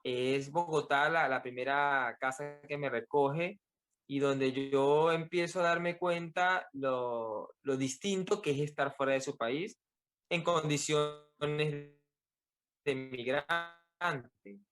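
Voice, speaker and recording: A man's voice: a little high, slow pace, a bit bright, soft background music. A man from Venezuela with a Venezuelan accent, speaking reflectively as an interview guest and often repeating words as he gathers his thoughts. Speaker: podcast guest, author. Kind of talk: personal interview account.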